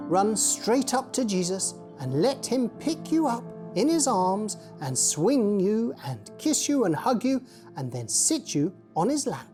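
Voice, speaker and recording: Woman, children's story reader, mellow tone, slow, lilting. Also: medium pitch.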